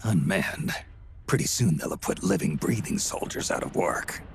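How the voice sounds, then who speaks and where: An actor playing a gruff, battle-weary aging male soldier in a video game, terse and dry with a gravelly rasp. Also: animated, moderate pace, noisy background. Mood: grim, terse. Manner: terse, dry, low-key muttering. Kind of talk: video game cutscene acting.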